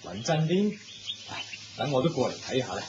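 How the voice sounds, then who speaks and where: A man's voice: medium pitch, sing-song, moderate pace, darkish tone, a little hiss or hum, natural voice. A man with a Hong Kong Cantonese accent acting a commanding, sly king out hunting in a radio drama. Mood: confident, gloating. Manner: imperious, giving orders. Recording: dull lo-fi radio recording. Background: music.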